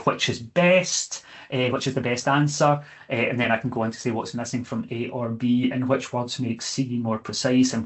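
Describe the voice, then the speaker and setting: Chatty male chemistry teacher. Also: medium pitch. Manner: conversational, checks in with "okay?" and fills pauses with "eh". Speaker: chemistry teacher giving a training session. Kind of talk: online webinar presentation.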